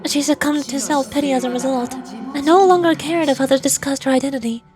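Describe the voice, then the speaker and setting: An ancient, all-knowing fantasy ruler read aloud by a woman explains things formally and solemnly in a high voice. Faint music plays behind the game dialogue. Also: fairly quick, natural voice.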